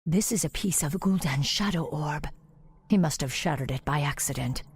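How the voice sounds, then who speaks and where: A determined female elf commander from a fantasy game. Her voice sits fairly low and is breathy and husky, and she speaks formally, with grave authority. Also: moderate pace, no room sound, clean studio sound. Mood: grave, pondering. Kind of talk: video game fantasy acting.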